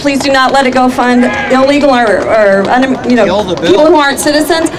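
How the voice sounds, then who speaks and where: A woman's voice: sits high, a little fast, background noise. A woman, a stay-at-home mom, pleading earnestly as she speaks to a rally. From USA. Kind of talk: impassioned public speech. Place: outdoor plaza rally. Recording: outdoor mic with loud crowd. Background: loud crowd.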